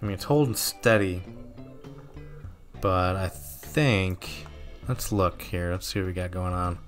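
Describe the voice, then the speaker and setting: Low-voiced man narrating a game, slightly sore throat. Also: measured pace, soft background music. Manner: relaxed, thinking aloud with frequent ums and self-corrections. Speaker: gameplay commentator. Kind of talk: casual let's-play commentary.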